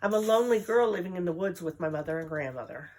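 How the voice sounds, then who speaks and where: A woman's voice: on the low side, lively intonation, fast, mellow, lightly acted. Woman reading as a lonely young girl. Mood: earnest. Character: lonely nine-year-old girl, earnest.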